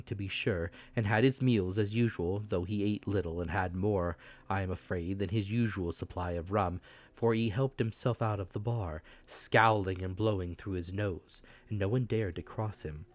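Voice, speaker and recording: A man's voice: lowish voice, moderate pace. A man reading a classic adventure novel aloud as dramatic audiobook narration.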